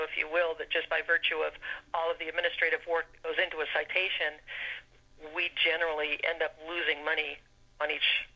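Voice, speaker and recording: A man working as an administrative clerk, carefully explaining procedure on a telephone call, correcting his terms as he goes. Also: fairly high pitch. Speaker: parking citation administration clerk. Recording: bright telephone call with faint noise.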